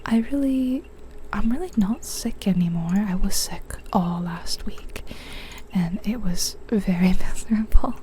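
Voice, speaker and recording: Soft-whispering woman, slow and mellow. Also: mid-range voice, slight background noise. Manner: soft unvoiced whisper, musing to herself. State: a little sniffly from a cold. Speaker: ASMR gaming streamer. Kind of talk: whispered ASMR gameplay commentary.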